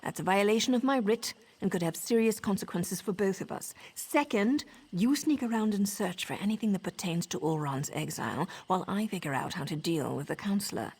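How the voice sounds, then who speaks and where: An actress playing a poised professional assassin and mentor in a video game, with a wry, measured, self-assured delivery. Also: female voice, medium pitch, expressive, a little mellow, husky. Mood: businesslike.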